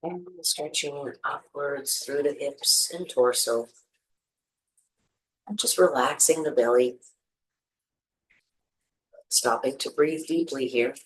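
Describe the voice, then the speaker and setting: A meditation teacher leading a guided practice, giving gentle, soothing instructions with pauses. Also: medium pitch, low-quality recording.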